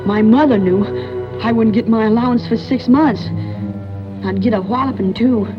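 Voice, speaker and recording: Woman as a gossipy restless little boy, high voice, vintage radio drama, dulled old recording. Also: moderate pace, natural voice. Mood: eager, chatty. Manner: eager, boyish, gossipy. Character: chatty, restless young boy who dodges his strict mother. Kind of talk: 1940s radio thriller acting. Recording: muffled 1940s radio recording with music.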